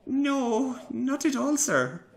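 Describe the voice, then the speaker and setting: A man's voice: very high voice, very animated, slow pace, gravelly, voice pushed up, full character voice. A man voicing a kindly, patient older male engine who speaks meekly and politely. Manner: meek, gentle, polite.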